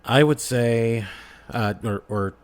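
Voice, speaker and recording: A man in a casual podcast discussion, animated and given to breaking into character voices. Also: mid-range voice, slow pace.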